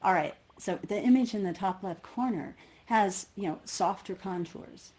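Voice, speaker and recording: A woman's voice: medium pitch, moderate pace, darkish tone. A woman giving a conference keynote with personal anecdotes, thinking aloud and often rephrasing as she goes. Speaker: keynote speaker.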